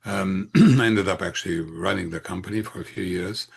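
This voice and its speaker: Man in his 60s, Romanian accent, deep voice, husky rasp, halting conversational delivery, interview guest. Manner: halting, hesitant phrasing with frequent pauses. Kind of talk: conversational autobiographical answer.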